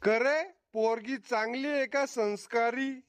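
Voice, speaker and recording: A man's voice: very high voice, fairly even intonation, slow pace, no echo. A man voicing a cantankerous, boastful old village grandfather in a comedy cartoon, blunt and quarrelsome. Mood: gruff. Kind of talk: Marathi comedy cartoon acting.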